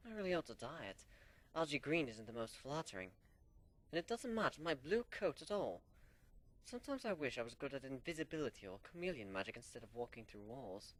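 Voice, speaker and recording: A man narrating a fan story in the first person as an amateur dramatic reading, animated and sinking low for suspense. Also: fairly quick, no room sound. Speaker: story reader.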